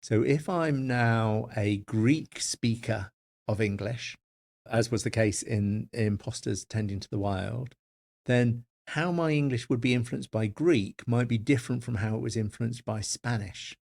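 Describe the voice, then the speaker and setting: Middle-aged guy explaining linguistics on a podcast, stops and restarts a lot, thinking out loud. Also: medium pitch, measured pace, vocal fry. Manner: hesitant, thoughtful, repeats words while thinking. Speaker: podcast co-host, forensic linguist. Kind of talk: academic podcast discussion.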